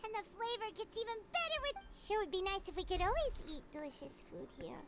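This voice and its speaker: A tiny floating fairy companion, chirping in a very high, sing-song voice that leaps all over. She is bubbly and excitable, and she keeps calling herself by her own name. The game's music plays clearly behind her. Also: quick pace, exaggerated voice. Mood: cheerful. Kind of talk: game voice acting.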